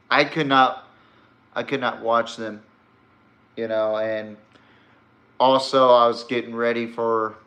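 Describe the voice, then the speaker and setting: Guy doing casual podcast rants, slow talker but punchy bright voice, blunt and kinda rambly. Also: medium pitch. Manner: rambling, blunt, profane, emphatic. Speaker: sports podcast host. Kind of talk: casual opinionated sports and politics commentary.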